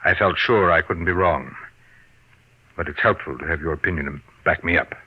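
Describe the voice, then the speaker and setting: A deep male voice as a cold, middle-aged executive in a vintage radio play. He speaks in a clipped, commanding way, impatient and suspicious. The sound is dull and old. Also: normal speed, slightly rough. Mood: probing, deliberate. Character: cold, suspicious, commanding businessman and jealous husband. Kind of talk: 1940s radio acting.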